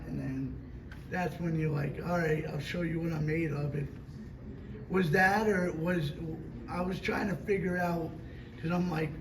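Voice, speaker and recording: A man in the audience asking an actor a long, rambling question, a bit hoarse from allergies. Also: fairly high pitch. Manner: rambling, loose phrasing. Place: convention hall. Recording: roomy, distant, noisy hall recording.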